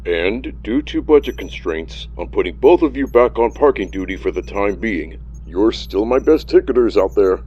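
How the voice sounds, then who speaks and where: A man voicing a gruff male buffalo police chief giving orders over a radio speaker. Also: lively intonation, moderate pace, hardly any pauses, lo-fi sound, background noise. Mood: commanding. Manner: booming, commanding. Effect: heard over a radio speaker.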